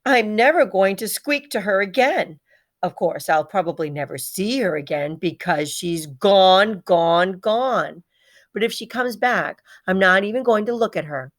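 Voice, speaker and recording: Woman reading as a proud little boy hamster telling his storybook tale, dramatic, lowish voice. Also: natural voice. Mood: hurt, indignant. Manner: dramatic, repeats words for emphasis. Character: small male pet hamster, bright, proud, heartbroken storyteller. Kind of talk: children's storybook read-aloud in first person.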